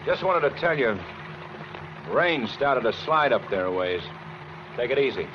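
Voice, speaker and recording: An American man playing a gruff, authoritative but casual motorcycle cop in a 1940s radio drama. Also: mid-range voice, very animated, measured pace. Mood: easygoing. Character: gruff male motorcycle cop on night patrol. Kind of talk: 1940s radio suspense acting. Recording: noisy muffled 1940s radio recording.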